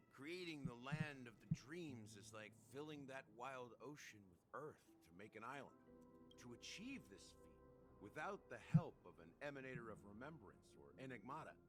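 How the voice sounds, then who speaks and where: An actor playing an enigmatic older game character, a sly rebel who talks in a measured, theatrical, wry way. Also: male voice, medium pitch, natural voice. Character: enigmatic older man, sly rebel revealing his secrets. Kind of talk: video game story dialogue. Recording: music behind the voice.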